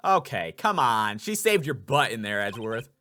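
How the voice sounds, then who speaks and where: A man's voice: medium pitch, sing-song, normal speed, bright, forceful tone, slight background noise. A man voicing an earnest young defense lawyer in a video game, exclamatory and easily flustered. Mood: teasing, exasperated.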